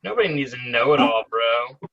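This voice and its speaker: A man's voice: mid-range voice, expressive, normal speed, gravelly, pushed and bright. A man voicing a cocky teenage bully, taunting and dismissive, on dull video call audio.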